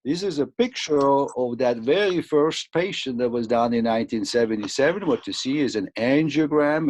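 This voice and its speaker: A man's voice: mid-range voice, a little mellow, dry recording. Older Swiss-accented man lecturing over slides. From Switzerland. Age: 60s. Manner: halting, with many fillers and restarts. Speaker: cardiologist guest. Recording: dry, muffled lo-fi sound.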